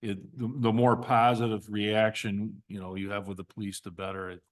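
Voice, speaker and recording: A man's voice: fairly low pitch, level tone, moderate pace. A man from Milwaukee chairing a village committee meeting, giving friendly, rambling remarks of support.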